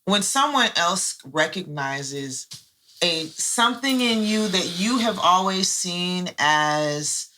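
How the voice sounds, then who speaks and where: A woman guest with a low, husky, hoarse voice, telling stories in a heartfelt, animated way in casual chat. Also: takes it slowly.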